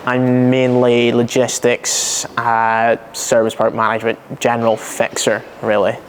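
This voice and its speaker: A twenty-one-year-old man who answers in a laid-back way, now and then pausing on an uh. His voice sits at an ordinary middle pitch, with a faint hiss behind. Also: monotone. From Derry. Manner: laid-back, some uhs. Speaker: student rally team member. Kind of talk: casual interview answers.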